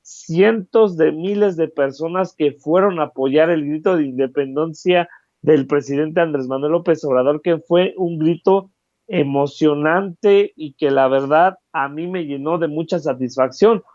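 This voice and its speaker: A man's voice: fairly high pitch, a little slow, darkish tone, no room sound. A man with a Mexican Spanish accent co-hosting political commentary, speaking earnestly and repeating phrases for emphasis. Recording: dry, dull lo-fi sound.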